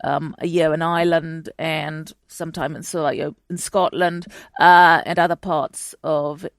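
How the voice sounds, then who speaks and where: A woman's voice: deep voice, steady tone, unhurried, no room sound, clean studio sound. A woman from Chile, a guest historian, answering interview questions in a chatty way with many fillers and restarts. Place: home in London.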